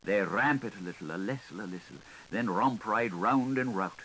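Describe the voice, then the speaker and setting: A man's voice: lowish voice, expressive, normal speed, a bit bright, husky, low-quality recording. A man narrating a literary audiobook in a theatrical, lilting, singsong manner. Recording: hissy 1980s audiobook recording.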